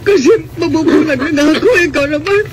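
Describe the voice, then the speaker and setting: An actress playing a frail, anxious old wife with a Filipino accent, stammering and plaintive in classic radio drama style. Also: female voice, high voice, quick pace, very noisy, natural voice. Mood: tearful, alarmed. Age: older. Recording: radio drama with music behind.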